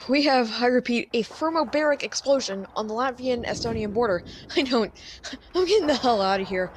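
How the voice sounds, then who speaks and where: A woman's voice: expressive, a little fast, natural voice. A woman playing a war correspondent delivering a live radio news report from the front line. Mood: alarmed, shaken. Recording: noisy outdoor field, vehicle rumble.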